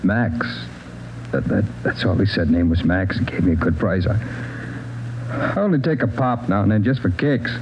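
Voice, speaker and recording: An American actor playing a jittery, evasive addict drifter who stammers and repeats questions back, in a 1940s radio crime drama. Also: male voice, on the low side, normal speed, natural voice. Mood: sick, pleading. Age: 30s. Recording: muffled, noisy old radio recording.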